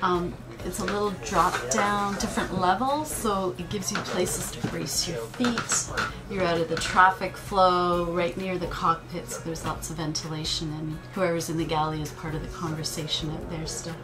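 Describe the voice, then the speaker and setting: A woman's voice: on the low side, steady tone, moderate pace. A woman in her sixties shows guests around her sailboat, describing its features with eager, detailed enthusiasm. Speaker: boat owner giving a tour. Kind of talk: casual show-and-tell. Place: aboard a sailboat in a marina, deck and cabin. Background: background music.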